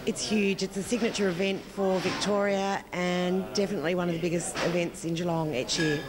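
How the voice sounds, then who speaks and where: A woman's voice: on the low side, steady tone, fairly quick. An Australian woman promoting an event in spoken interview answers. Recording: crowd noise at busy event. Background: clear crowd noise.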